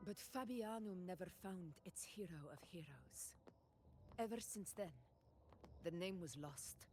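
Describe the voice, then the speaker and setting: A woman voicing a proud, solemn warrior in a video game, speaking in a measured, earnest and dramatic way. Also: on the low side. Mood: bitter, grieving. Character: proud, solemn woman warrior haunted by her lost hometown. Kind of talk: video game voice acting, storytelling. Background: game music.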